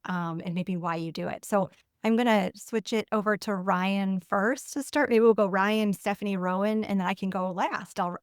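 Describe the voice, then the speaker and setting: Woman, smooth clear voice, webinar moderator, chatty and friendly, lots of fillers, video call, dry sound. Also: mid-range voice, no echo. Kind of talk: webinar introduction.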